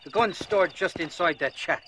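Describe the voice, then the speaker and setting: High-pitched, wheedling Irish rogue. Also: male voice, moderate pace, sharp tone, a little hiss or hum. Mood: ingratiating. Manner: wheedling, wordy, blustering. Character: weaselly drunken Irish male rogue and liar. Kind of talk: animated western game acting.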